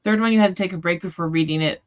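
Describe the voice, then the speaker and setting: A woman with a fairly low voice hosts a casual livestream chat about books. She talks informally and tentatively, rambling a little as she goes. The audio is somewhat dull and lo-fi. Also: rapid-fire. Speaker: host of a book discussion livestream. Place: remote livestream call.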